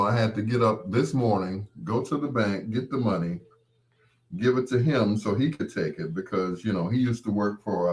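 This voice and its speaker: A man's voice: on the low side, darkish tone. A man hosting a show solo, rambling casually to his listeners in a folksy, playful, anecdotal way. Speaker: host of a late-night show.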